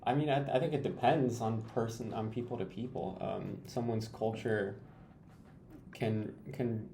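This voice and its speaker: A man's voice: fairly low pitch, fairly even intonation, measured pace, gravelly, mellow. A young man from Peru in a student podcast discussion, thoughtful and searching for words. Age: young adult. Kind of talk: casual discussion. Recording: echoey room, faint noise.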